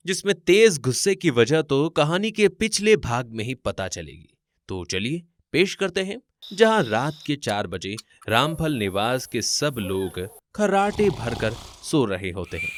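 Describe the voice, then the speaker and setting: A man narrating a scripted family story in a theatrical, rhetorical style. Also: medium pitch.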